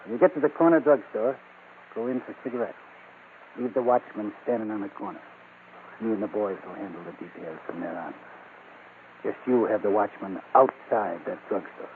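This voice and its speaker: Actor as commanding gang boss on old radio. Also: male voice, lowish voice, moderate pace, phone-quality sound, natural voice. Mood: scheming, firm. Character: commanding, methodical male leader of a robbery gang. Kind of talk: old-time radio crime drama acting. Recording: band-limited, hissy old radio recording.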